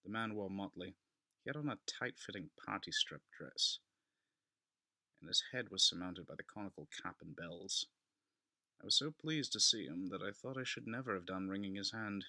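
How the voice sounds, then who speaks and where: A man giving a theatrical, deliberate dramatic reading of a classic gothic story as narrator. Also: deep voice, pushed and bright, no echo.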